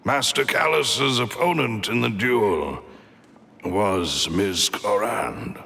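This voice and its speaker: A man's voice: fairly low pitch, takes it slowly, creaky, pushed and bright, a little hiss or hum, full character voice. An actor playing a loyal, earnest male retainer in a video game, speaking formally and deferentially. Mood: grave.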